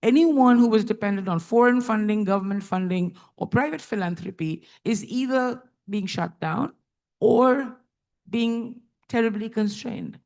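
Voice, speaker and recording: A woman's voice: fairly high pitch, measured pace, a little mellow. A woman from India speaking as a panelist, earnest and thoughtful, with some fillers as she speaks. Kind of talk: panel discussion remarks.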